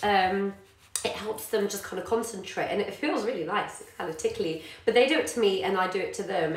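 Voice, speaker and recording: A woman, a yoga teacher and parent chatting casually to live viewers with many ums. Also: mid-range voice. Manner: warm encouraging, many ums, greets viewers by name. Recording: echoey room.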